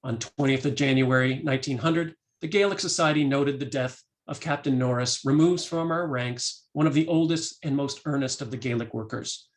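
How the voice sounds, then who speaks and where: Man reading a prepared academic talk. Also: mid-range voice. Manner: measured, reads quotations aloud. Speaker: academic lecturer.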